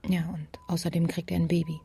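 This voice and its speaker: A woman's voice: fairly low pitch, level tone, fast talker, gravelly, mellow, husky, heavily acted. An actress playing a guarded, dry-witted woman investigator in a naturalistic radio crime drama, murmuring laconically. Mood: guarded, intimate.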